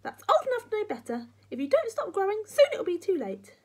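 A woman's voice: very high-pitched, wildly swooping pitch, fairly quick, darkish tone, pitched above the performer's own voice, full character voice. A woman voicing a tiny, wicked old grandmother from a children's storybook, bossy, snappy and scolding.

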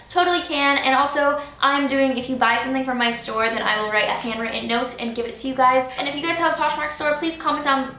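Young woman, American accent, high-pitched, chatty vlog style, roomy bedroom sound, faint music behind. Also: bright, forceful tone. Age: early 20s. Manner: chatty, youthful, frequent "like" and "kinda". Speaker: lifestyle vlogger. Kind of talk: casual scripted-free vlog chat to camera. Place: small furnished bedroom, indoors.

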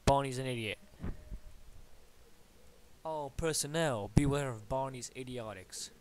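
A young man from Bulgaria with a Bulgarian accent, casually commentating his game at home, rambling and swearing playfully at enemies. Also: fairly high pitch. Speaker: gamer commentating his play. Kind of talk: casual let's-play commentary. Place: at home at a computer. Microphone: awkwardly placed desktop mic.

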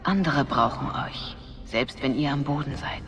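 An actress playing a calm, solemn older woman mentor, speaking deliberately and hushed in a German fantasy game. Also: very deep voice. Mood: solemn, encouraging. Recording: clear music behind the voice.

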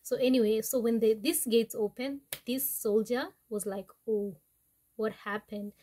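A young woman with a Kenyan accent giving an informal Bible lesson to camera, chatty and halting, often correcting herself. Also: fairly high pitch, mellow. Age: young adult. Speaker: Bible teaching vlogger. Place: small wood-panelled room indoors.

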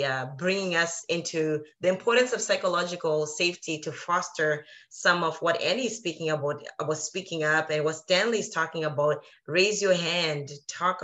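Deep-voiced lady running a panel on a video call, keeps it moving with questions. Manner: warm affirming, steers with questions. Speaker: moderator. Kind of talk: moderating a webinar panel.

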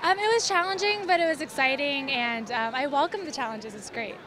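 A woman's voice: sits high, a little fast, noisy background. A young adult woman, a cantor, answering in a bubbly way into an interviewer's handheld mic on a crowded arena floor. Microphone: handheld mic held by interviewer. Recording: crowd chatter behind.

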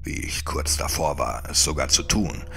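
A man narrating a first-person horror story in a dramatic, brooding audiobook style, often sinking toward a breathy whisper. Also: a bass voice, moderate pace, sharp tone. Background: music.

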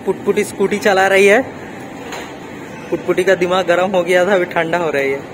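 A young man with a slightly raised voice jokes and teases in relaxed vlog chat. He is from Kolkata and talks into a phone held at arm's length. Heavy mall noise sits behind him. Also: high voice, normal speed. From Kolkata, India. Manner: joking, lightly teasing. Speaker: vlogger. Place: shopping mall, indoors. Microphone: phone camera at arm's length. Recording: noisy mall.

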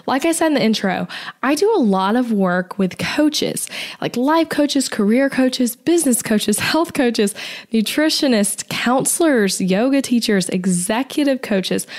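A woman's voice: on the high side, animated, unhurried, a little mellow. A young adult woman hosting her own podcast, talking in an upbeat, chatty way while getting her voice back after being sick. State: recovering voice after illness. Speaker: podcast host, web designer. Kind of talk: solo podcast talk.

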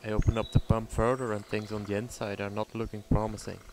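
A man's voice: fairly low pitch, level tone, fast, darkish tone, faint music behind. A man giving casual workshop commentary as the host of a repair vlog. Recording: clear background noise, mechanical clicks.

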